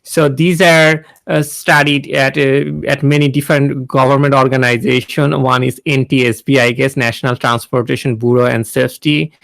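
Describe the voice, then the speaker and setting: Guest speaker, thirties, Bangladeshi accent, halting and gravelly, dry video-call sound. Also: male voice, mid-range voice, little pitch movement, a bit bright, no room sound. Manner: hesitant, many fillers and restarts. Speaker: guest speaker, NASA engineer. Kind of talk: informal talk to students on a video call.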